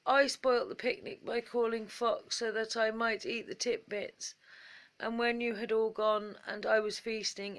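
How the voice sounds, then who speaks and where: A woman plays a greedy little boy rabbit from a storybook. He is sly and spiteful, with a scheming, theatrical way of speaking that suits a small troublemaker. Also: mid-range voice. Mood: sorry. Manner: scheming, dramatic, yelling in alarm.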